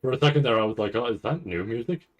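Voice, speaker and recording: A man's voice: medium pitch, expressive, quick pace, lo-fi sound, faint music behind. A man streaming in a casual, rambling chat, thinking aloud in fragments and often tagging his points with a questioning check. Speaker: streamer hosting a reaction stream.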